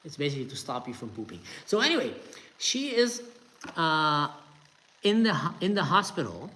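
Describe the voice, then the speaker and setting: A middle-aged man with an Israeli accent, telling a story from his own life in a lively casual way and acting out the voices he quotes. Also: a little high, normal speed. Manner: animated, acts out quoted voices, tense retelling. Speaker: storyteller host.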